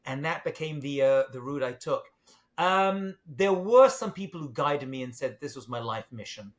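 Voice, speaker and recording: A man's voice: mid-range voice, animated, moderate pace. London-accented middle-aged man, joking. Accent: British English, London. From England. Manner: self-deprecating, jokey, with fillers. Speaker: rabbi, guest. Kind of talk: casual interview talk.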